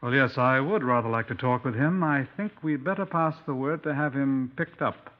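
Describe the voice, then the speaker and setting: Actor as dry British police inspector, dull old recording. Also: male voice, medium pitch, normal speed, lightly acted. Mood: intent, curious. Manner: clipped, courteous, dryly probing. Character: brisk, dry-humoured Scotland Yard police inspector narrating his case. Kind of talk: 1950s radio drama acting. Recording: dull 1950s radio recording.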